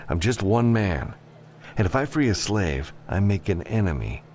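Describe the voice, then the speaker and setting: A gruff freelance captain from a science fiction audiobook, played by a male reader. He sounds deep and gravelly, blunt and wary, with a stern edge. Faint noise sits behind the voice. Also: a little mellow.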